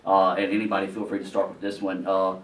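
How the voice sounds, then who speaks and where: A man hosts a casual talk show, his voice on the low side as he asks loose, folksy questions. He is heard from a distance in an echoey room. A faint hiss sits under him. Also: monotone, fast talker. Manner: folksy, filler-heavy questions. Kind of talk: casual interview hosting.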